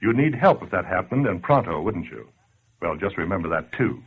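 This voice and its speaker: A man's voice: low voice, big pitch swings, fairly quick, husky, exaggerated voice. An actor playing a tough, slangy man who scolds listeners bluntly in 1940s radio style. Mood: blunt, urging. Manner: blunt, sardonic, colloquial. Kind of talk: 1940s radio acting, direct address to listener. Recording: dull lo-fi 1940s radio recording.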